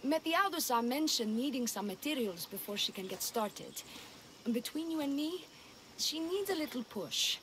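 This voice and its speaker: A woman's voice: sits high, expressive, moderate pace, a bit bright, slight background noise. An actress playing a weary, practical village woman who leads, earnest and guarded, in a video game. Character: weary, pragmatic unwed village woman leader. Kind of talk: video game cutscene acting.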